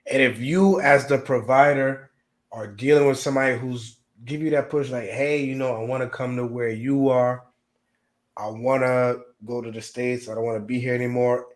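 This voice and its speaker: Man, discussion guest, calm and encouraging, mid-pitched everyday voice. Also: moderate pace. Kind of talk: advice-giving discussion.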